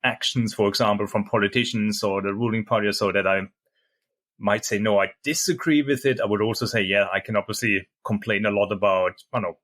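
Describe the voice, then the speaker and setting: Middle-aged German man as interview guest, lowish voice, halting and filler-heavy delivery. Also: steady tone, a bit bright. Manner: hesitant, many fillers and restarts. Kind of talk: casual interview chat.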